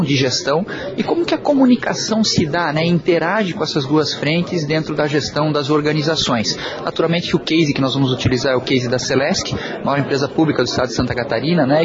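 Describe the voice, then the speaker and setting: Fast-talking man with crowd behind. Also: fairly high pitch, dull audio. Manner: formal, official, frequent "né". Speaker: public company president guest. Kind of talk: interview answer at event.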